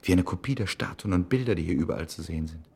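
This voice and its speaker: A man acting a troubled, brooding scholar's inner monologue in a radio play, with a gravelly voice. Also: low-pitched, fast, a little mellow, a little hiss or hum. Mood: intrigued, musing. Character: troubled, brooding male scholar absorbed in his thoughts.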